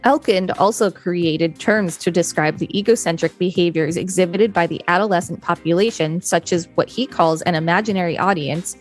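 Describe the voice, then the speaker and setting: A woman's voice: on the low side, normal speed, few pauses, soft background music. A woman hosting a podcast and reading an encyclopedia article aloud. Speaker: podcast host.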